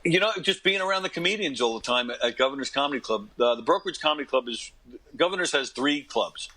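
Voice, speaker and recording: An American man in his fifties, an interview guest, talking casually in a rambling, self-deprecating way and often clearing his throat. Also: mid-range voice, expressive, fast, pushed and bright. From Long Island, New York, United States. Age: 50s. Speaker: interview guest, actor and comedy performer. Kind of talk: casual conversational storytelling.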